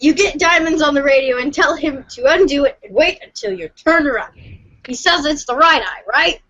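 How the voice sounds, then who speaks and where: Woman reader, high bright voice, measured dramatic script read, slightly muffled home podcast sound.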